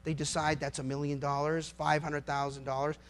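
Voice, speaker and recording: High-pitched, creaky American man making an impassioned speech. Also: flat delivery, brisk. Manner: emphatic, rhetorical questions, repeats phrases for effect. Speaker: lawyer giving a speech. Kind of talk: impassioned public speech to a live audience.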